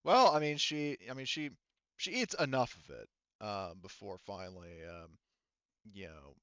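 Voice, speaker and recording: Male game master, lowish clean tone, hesitant improvised narration, slightly muffled recording. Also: a bit bright, clean tone, no echo. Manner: halting, hedging, thinking aloud.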